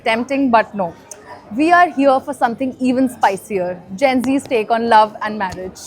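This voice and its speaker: A woman's voice: on the high side, normal speed, poor audio. A woman hosting a street vox pop, bubbly and teasing as she questions passers-by outdoors. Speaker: street interviewer and host. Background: background music.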